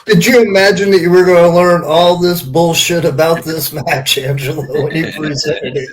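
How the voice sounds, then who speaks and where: A man's voice: fairly high pitch, fairly even intonation, moderate pace, low-quality recording. A man chatting casually and telling stories as a former wrestler on a podcast panel, with a salty, profane way of talking. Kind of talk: casual storytelling chat.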